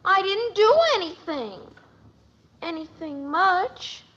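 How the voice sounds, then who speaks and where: A female performer playing a stubborn, whiny, excitable little American girl in a 1950s radio family comedy. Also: extremely high pitch, slow, full character voice. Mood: defensive. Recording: muffled old radio recording.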